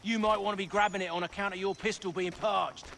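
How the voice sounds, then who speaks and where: Game cutscene: cocky, crude rogue who jokes about loot, voice on the high side, score playing. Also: male voice, steady tone, quick pace, creaky, fairly bright tone. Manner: cocky, slangy, ungrammatical. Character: crude, greedy, wisecracking male rogue. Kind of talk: video game cutscene acting. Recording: game soundtrack with music behind.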